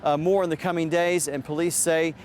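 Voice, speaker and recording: A man's voice: on the high side, flat delivery, fairly quick, darkish tone, a little hiss or hum. An American man giving a live television news report as a field reporter, outdoors.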